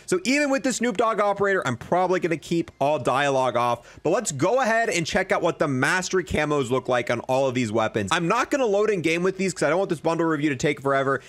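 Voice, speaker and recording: A man's voice: on the high side, very animated, quick pace, hardly any pauses, a bit bright, no echo. A young man giving casual, enthusiastic, slangy commentary as he reviews a video game. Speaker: gaming video creator.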